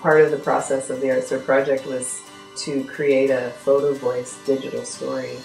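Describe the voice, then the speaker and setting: Woman, very deep voice, earnest and emphatic, interview, slightly roomy, faint music. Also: level tone, normal speed, creaky, a little mellow. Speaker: youth arts project coordinator. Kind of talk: interview to camera.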